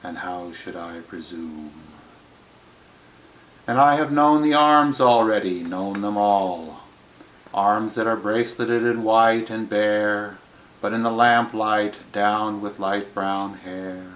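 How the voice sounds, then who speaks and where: Male poetry reader, lowish voice, very unhurried plain literary delivery, recorded in an echoey room. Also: a bit bright, a little hiss or hum.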